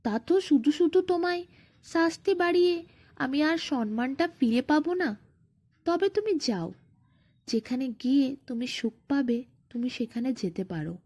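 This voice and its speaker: A woman voicing a fairy king, a solemn, grieving father who speaks in measured words and yields to his child. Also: high voice, expressive, warm tone. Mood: solemn, resigned.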